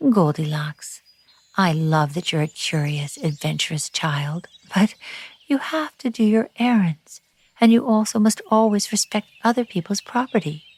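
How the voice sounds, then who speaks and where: A woman voicing a gentle mother who speaks softly to her daughter. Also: fairly low pitch, unhurried, husky, no room sound.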